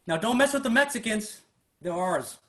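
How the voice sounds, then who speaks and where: An actor playing a sadistic, taunting racist vigilante thug in a staged reading. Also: male voice, high-pitched, lively intonation, moderate pace, sharp tone. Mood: gleeful, menacing. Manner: taunting, chuckling menace. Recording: virtual live event recording.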